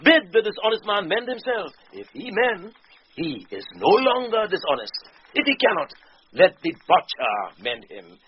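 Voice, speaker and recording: A man's voice: on the high side, slight background noise. A man playing an impudent, witty court fool in a Shakespearean radio drama, teasing with playful riddles and mock logic. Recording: dull 1990s radio recording, faint music.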